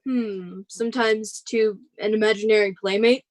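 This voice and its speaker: A woman's voice: mid-range voice, fairly even intonation, moderate pace, darkish tone, dry recording, natural voice. A woman playing a strained, self-absorbed father in a live radio drama, earnest and defensive. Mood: skeptical. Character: strained, self-absorbed father and novelist, dismissive then apologetic.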